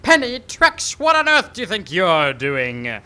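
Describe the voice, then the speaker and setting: A woman's voice: lowish voice, wildly swooping pitch, unhurried, pushed and bright, clear, resonant tone, heavily acted. A woman playing a haughty, scheming western villain with a pompous, indignant manner in a comic radio play. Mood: haughty indignation. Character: haughty, scheming Old West villain rancher.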